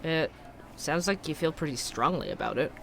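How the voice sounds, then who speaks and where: A man playing an empathetic, thoughtful investigative podcast host, speaking in an earnest, measured way. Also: on the high side, animated, gravelly, a bit bright. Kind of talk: fiction podcast interview and narration.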